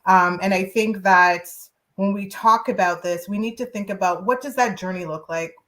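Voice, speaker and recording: A woman's voice: mid-range voice, normal speed, fairly bright tone. A woman panelist speaking conversationally in a discussion, earnest and direct.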